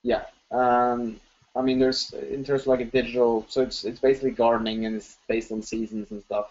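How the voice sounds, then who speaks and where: A man's voice: mid-range voice, little pitch movement, brisk, a little mellow. Chatty man hosting a lo-fi webinar. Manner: chatty, filler-heavy, enthusiastic. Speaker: webinar host. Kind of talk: live webinar Q&A. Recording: dull lo-fi webinar audio.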